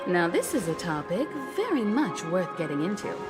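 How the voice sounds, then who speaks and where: A woman's voice: lowish voice, big pitch swings, over loud music. An actress playing a poised, diplomatic woman navigator in a video game, speaking formally and persuasively. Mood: composed. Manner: measured, formal, courteous.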